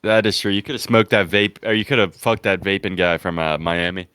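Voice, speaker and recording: A man's voice: sits low, fairly even intonation, a little fast, a bit bright, clear, resonant tone, dry recording, clean studio sound. A man co-hosting a comedy podcast, swearing and laughing through loose banter, sniffling now and then. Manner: loose, profane, laughing often. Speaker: podcast co-host.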